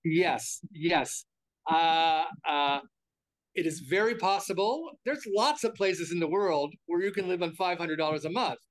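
An older American man with a high-pitched voice, chatting through an interview. He rambles, stumbling over repeated words and restarting his sentences. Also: animated, measured pace, rough recording. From United States. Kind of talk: casual interview talk.

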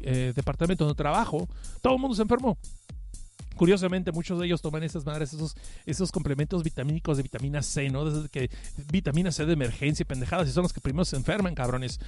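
Higher-voiced Mexican man with a cold. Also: quick pace, a little mellow, soft background music. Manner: informal, slangy, self-deprecating. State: has a cough and cold. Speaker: podcast host. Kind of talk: casual live stream chat, reading listener comments.

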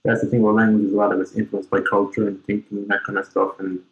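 A man hosting a casual interview, talking in a relaxed, loose way with lots of fillers. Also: lowish voice, racing, dull audio, a little hiss or hum. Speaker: podcast host.

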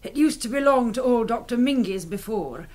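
A woman's voice: on the high side, animated, moderate pace, a little mellow. A woman playing a homely, deferential Scottish housekeeper in a radio drama, polite and plain-spoken. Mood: matter-of-fact.

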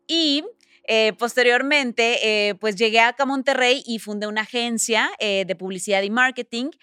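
A woman's voice: on the high side, normal speed, bright, forceful tone, clean tone, no room sound, clean studio sound. A young woman from Mexico, chatting upbeat as a podcast guest. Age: young adult. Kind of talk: interview conversation.

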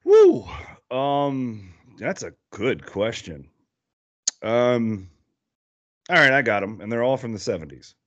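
Lively rambling American guy podcast guest. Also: medium pitch, slow pace, pauses often, no room sound, muffled recording. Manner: rambling, lots of fillers and restarts, laughs easily. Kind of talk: casual podcast chat.

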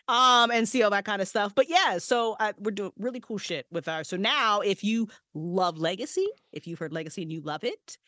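A woman's voice: mid-range voice, no room sound, clean studio sound. A woman in her forties, a podcast co-creator, chatting casually as a guest, swearing freely and laughing often. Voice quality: profane, animated, laughing often. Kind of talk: casual chat.

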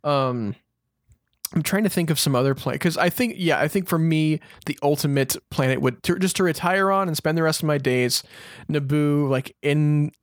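A man's voice: a little high, normal speed, dry recording. Casual male podcast co-host, dry close sound. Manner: informal, thinking aloud. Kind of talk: casual chat.

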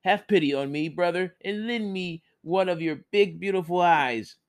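A man's voice: medium pitch, lively intonation, unhurried, a bit bright. A man voicing a boastful, foolish man from a folktale who turns whiny and pleading.

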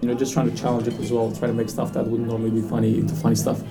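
A man's voice: medium pitch, flat delivery, rapid-fire, vocal fry, loud music behind the voice. A man in his thirties from Romania, a stand-up comedian chatting casually in an interview, jokey and swearing freely. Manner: jokey, swears casually, laughs at own jokes.

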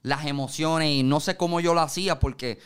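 A man's voice: on the high side, brisk, fairly bright tone, smooth. A man with a Puerto Rican accent, a music producer being interviewed, telling stories in casual conversation. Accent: Puerto Rican Spanish. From Puerto Rico.

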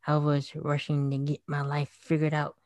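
A man in his twenties in casual chat, agreeable and chiming in with quick remarks. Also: fairly high pitch, monotone, dry recording. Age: 20s.

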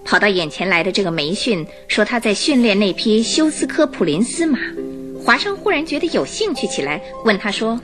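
A woman narrating a scripted radio drama in an expressive storytelling manner. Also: mid-range voice, fairly quick, soft background music.

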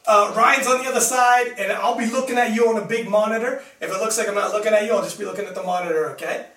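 A man's voice: sits high, steady tone, fast, a bit bright, recorded from a distance. A young man from Canada hosting a casual live interview show, chatty and laughing often, full of fillers. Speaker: host. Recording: roomy sound, mic set back.

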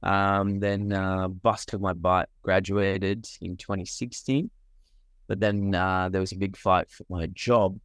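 Deep-voiced man, earnest and halting. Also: little pitch movement, dry recording, studio quality. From Sri Lanka. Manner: earnest, with frequent pauses and fillers. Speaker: guest, medical doctor. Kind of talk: reflective interview answers.

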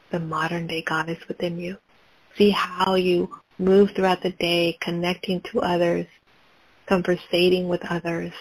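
Calm woman guiding a gravelly meditation. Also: lowish voice, low-quality recording. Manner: slow, calm, repetitive guiding prompts. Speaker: meditation guide. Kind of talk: guided meditation.

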